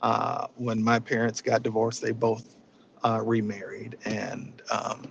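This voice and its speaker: A man giving an informal inspirational talk in a reflective, halting way, often repeating his phrases. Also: fairly low pitch, flat delivery, gravelly, muffled recording, slight background noise. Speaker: spiritual session leader.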